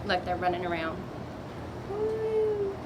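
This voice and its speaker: A woman reading a children's picture book aloud in an expressive storytelling manner, with little asides to young listeners. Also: very high-pitched, slow, highs cut off, noisy background. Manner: expressive, acts out exclamations and dialogue.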